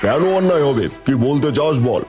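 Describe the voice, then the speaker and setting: A man's voice: a little high, lively intonation, brisk, natural voice. A man voicing a stern, authoritative tiger king of the forest in a cartoon fable, speaking in a regal, commanding way. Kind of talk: animated children's fable dialogue. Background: music.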